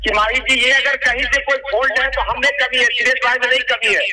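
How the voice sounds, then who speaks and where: A man's voice: very high-pitched, fairly even intonation, quick pace, bright, forceful tone, a little hiss or hum. A man pleading politely and repetitively on a phone call, as a committee chairman negotiating. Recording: distant, radio-like phone call recording.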